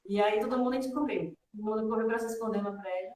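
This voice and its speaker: A woman's voice: a little high, rapid-fire, a little mellow. A woman with a northeastern Brazilian accent, a guest telling personal stories casually and conversationally, often checking for agreement. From Salvador, northeastern Brazil. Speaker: interview guest, devotional student. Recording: roomy echo, distant from microphone.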